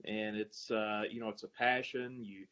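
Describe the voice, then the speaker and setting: A man, a sports announcer answering interview questions in a halting way, searching for his words. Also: fairly low pitch, fairly even intonation, fairly bright tone, muffled recording. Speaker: guest, arena public address announcer.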